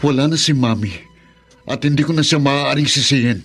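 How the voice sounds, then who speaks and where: A man's voice: mid-range voice, a little fast, a bit bright, faint music behind, close to an everyday voice. A man acting as a young Filipino son in a radio drama. He sounds wounded and proud, speaking in a curt, accusing way with a mid-range voice. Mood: bitter.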